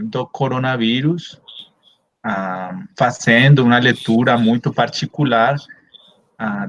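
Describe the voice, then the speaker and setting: A man from Colombia, a Spanish native speaker, giving an academic talk in a second language over a video call, hesitant with many drawn-out fillers. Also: medium pitch, a bit bright, no room sound. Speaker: guest speaker, anthropologist. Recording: dull lo-fi video call audio.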